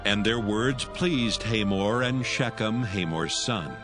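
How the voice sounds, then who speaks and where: A man reading scripted scripture narration in a measured, solemn manner. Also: on the low side, music in the background.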